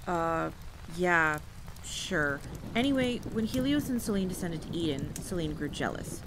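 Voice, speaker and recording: A woman playing an exasperated veteran monster hunter in an audio roleplay, wry and teasing, solemn when telling lore. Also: measured pace. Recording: steady rain and rumble behind. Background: rain, campfire ambience.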